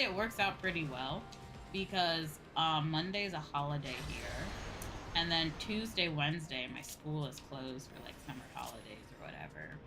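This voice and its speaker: Woman, low-pitched voice, casual gaming livestream chat, informal and chatty, prominent game music in the background. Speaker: livestreamer playing online games and chatting with viewers.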